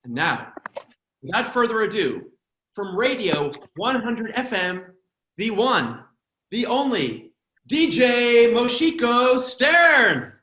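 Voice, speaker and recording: American comic emcee, high bright voice, playful asides, echoey room with a little hiss. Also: male voice, very animated, slow. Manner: jokey, chatty, playful asides. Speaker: emcee and comedian. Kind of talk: comic hosting of an online event.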